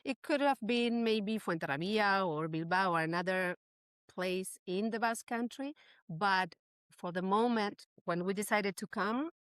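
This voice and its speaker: A woman's voice: mid-range voice, moderate pace, smooth, no room sound. A woman from Chile with a Chilean Spanish accent, answering as a podcast guest in casual, conversational English.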